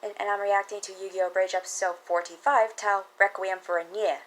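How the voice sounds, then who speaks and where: A woman's voice: mid-range voice, flat delivery, a little fast. A woman host talking casually as she introduces a reaction video.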